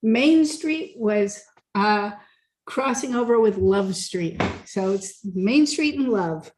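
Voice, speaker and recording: A woman's voice: medium pitch, lively intonation, slow, a little mellow, rough recording. A woman hosting a talk show in a casual, chatty way, sometimes stumbling over her words. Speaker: host. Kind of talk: casual radio chat.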